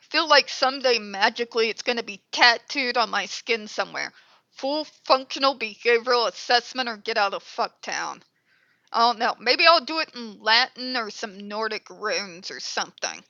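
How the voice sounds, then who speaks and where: A woman's voice: a little high, animated, a little slow, pauses often, pushed and bright, no room sound, lo-fi sound. A congested, stuffed-up woman hosting her own commentary channel, talking bluntly and with exasperation through a headset and swearing freely. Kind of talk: ranting commentary.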